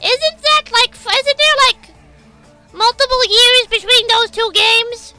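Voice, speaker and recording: Very high, bright, gravelly woman streamer. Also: takes it slowly, soft background music. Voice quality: high-pitched. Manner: blunt, sweary, excitable rambling. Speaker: livestreamer. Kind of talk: casual stream chat, reading out and answering viewer comments.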